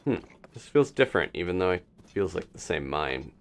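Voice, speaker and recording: A man's voice: deep voice, sing-song, normal speed, soft background music. A man giving casual live video game commentary as a streamer, musing to himself and thinking aloud as he plays.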